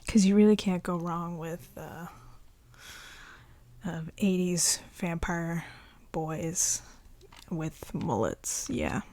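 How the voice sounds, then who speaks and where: A woman speaking softly and often in a near whisper, in a relaxed, chatty ASMR show-and-tell. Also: lowish voice, slow pace, pauses often, no echo. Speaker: ASMR video creator showing a collection.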